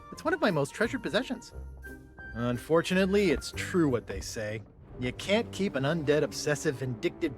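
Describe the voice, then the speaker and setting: A man playing a boastful, befuddled pirate hero in a video game, telling tales in a theatrical, self-important and absent-minded way. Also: sits high. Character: boastful, befuddled male pirate hero turned island governor. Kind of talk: video game voice acting, storytelling monologue. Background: music underneath.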